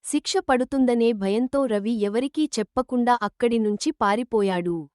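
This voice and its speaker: A woman's voice: a little high, moderate pace, a little mellow, dry recording, studio quality. A woman reading a scripted children's moral story as the narrator.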